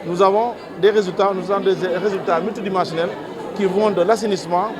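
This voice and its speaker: A man's voice: high-pitched, fairly even intonation, quick pace, background noise. A man, a technical agency official, explaining things formally and methodically to the press. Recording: busy crowd behind, public space.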